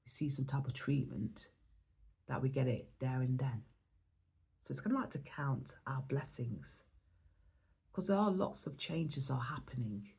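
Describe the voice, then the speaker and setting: A woman from England, hoarse and losing her voice, talking in a reflective, rambling way as she gives an oracle card reading in a spiritual vlog. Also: a bass voice, warm tone.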